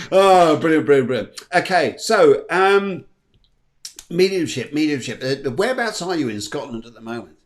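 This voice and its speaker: A man's voice: fairly high pitch, lively intonation, normal speed. A man hosting a casual live-stream chat, upbeat and chatty, often repeating his words. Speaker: host.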